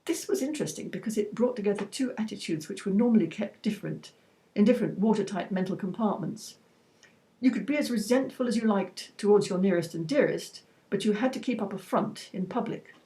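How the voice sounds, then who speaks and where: A woman reading her own personal essay aloud in a measured, reflective and slightly wry way. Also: mid-range voice, mellow, rough recording.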